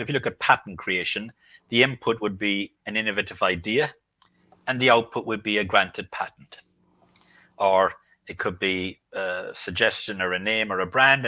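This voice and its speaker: A man from the UK answers interview questions from his home in a measured, explanatory way. His voice is low-pitched but bright and forceful, and the sound is close and dry. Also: dry recording, rough recording. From United Kingdom. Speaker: guest, IP consultant.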